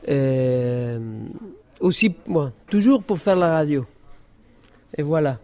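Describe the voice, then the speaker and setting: A man from Argentina with an Argentine Spanish accent, introducing himself as a guest in a radio discussion, pausing to find his words. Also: on the high side, animated, darkish tone. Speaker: invited guest, radio maker.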